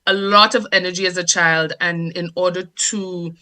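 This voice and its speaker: Bright-voiced Grenadian woman, articulate storyteller. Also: fairly low pitch, fairly even intonation. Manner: articulate, measured, presentational delivery. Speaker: guest, dancer and performer. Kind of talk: interview storytelling.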